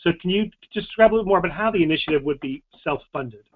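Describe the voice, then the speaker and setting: A man's voice: high-pitched, animated, racing. A man moderating a question-and-answer session, relaying questions in a casual way. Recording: tinny, phone-like band-limited audio.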